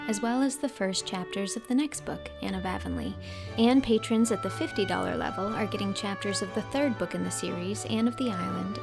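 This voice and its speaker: A woman narrates a storybook in a mid-pitched voice, reading from a script. Music plays clearly behind her. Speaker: audiobook narrator and host.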